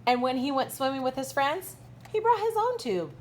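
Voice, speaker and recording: A woman kindergarten teacher reading a picture book aloud expressively, pausing to ask young listeners questions. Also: high-pitched, big pitch swings, slight background noise. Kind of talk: expressive children's storytime read-aloud with asides.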